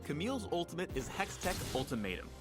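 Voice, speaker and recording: Male game narrator over clear music. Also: a little high, big pitch swings, quick pace. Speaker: game trailer and tutorial narrator. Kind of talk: scripted promotional game narration. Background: music under the voice.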